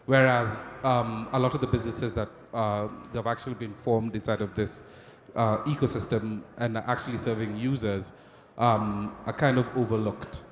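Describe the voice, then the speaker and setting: A man's voice: lowish voice, fairly even intonation, normal speed, a little hiss or hum. A man answering on a conference panel, hesitant and full of ums. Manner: hesitant, filler-heavy. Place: large conference hall. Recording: roomy echoey conference hall.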